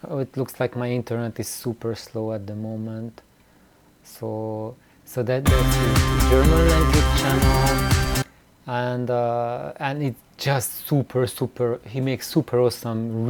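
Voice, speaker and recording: A man talking casually and unscripted as a video host, in a halting, rambling way that often repeats words. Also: medium pitch, dark tone. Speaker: YouTube host of a DIY loudspeaker channel. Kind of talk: casual, unscripted vlog talk. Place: small room indoors.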